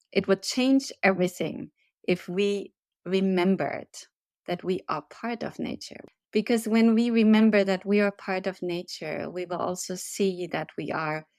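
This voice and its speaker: A woman from Germany, a resilience trainer, giving earnest, measured answers in a podcast interview. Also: mid-range voice, no echo, studio quality. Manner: earnest, measured, gently emphatic. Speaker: resilience and leadership trainer, guest.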